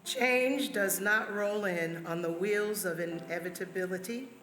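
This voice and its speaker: A woman's voice: mid-range voice, measured pace, a bit bright. A woman reading quotations aloud as a church member. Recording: echoey hall, music underneath. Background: music.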